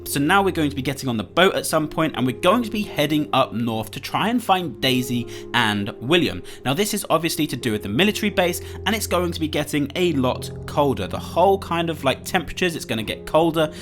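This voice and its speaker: A man's voice: on the high side, lively intonation, brisk, few pauses. A young man with a congested, nasal voice from a cold, presenting gaming commentary straight to his viewers. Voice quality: nasal, bunged up. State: congested with a cold, sore throat. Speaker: gaming YouTuber presenting a countdown. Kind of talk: upbeat YouTube gaming commentary. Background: background music.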